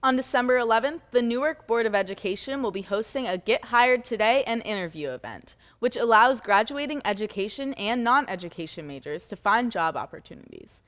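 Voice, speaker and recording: A woman co-anchoring a student newscast, reading event announcements in a steady, even way. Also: fairly high pitch, brisk, hardly any pauses, in a large hall. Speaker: news co-anchor.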